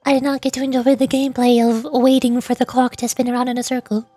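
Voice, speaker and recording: A woman's voice: fairly high pitch, flat delivery, quick pace, warm tone, no room sound. A woman livestreaming a video game with casual, chatty commentary, thinking aloud as she plays. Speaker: VTuber game streamer. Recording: game music behind. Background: faint game music.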